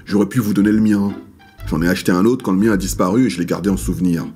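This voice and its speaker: A teasing middle-aged grumbler in a comic audio serial, played by a man. His voice sits slightly low, with mild good-humoured complaint and faint music behind. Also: fairly even intonation, racing, a little mellow, close to an everyday voice. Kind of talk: audio comedy serial acting.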